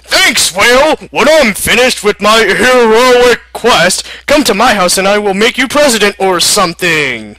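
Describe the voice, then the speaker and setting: A man voicing a loud, boastful, childish young hero who exclaims bombastically in a comic story. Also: high-pitched, animated, unhurried, hardly any pauses, dry recording, pitched above the performer's own voice, heavily acted, low-quality recording. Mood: bossy, eager.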